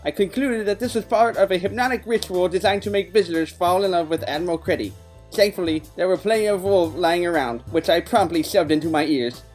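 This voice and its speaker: Guy doing a nerdy earnest detective narrating an audio drama, highish voice, bit of music behind. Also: brisk, a little mellow, natural voice. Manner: matter-of-fact, nerdy, rambling narration. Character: quirky earnest human male detective, tabletop-game jargon. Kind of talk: scripted first-person audio play narration.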